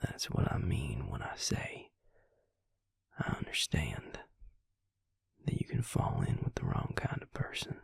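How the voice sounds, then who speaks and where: Man acting as a brooding male vampire lover, very husky, whispered, close and dry, halting, intimate audio roleplay. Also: a bass voice, lively intonation, no echo, full character voice. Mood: bitter, confiding. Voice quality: breathy, gravelly whisper. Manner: slow, halting delivery with pauses.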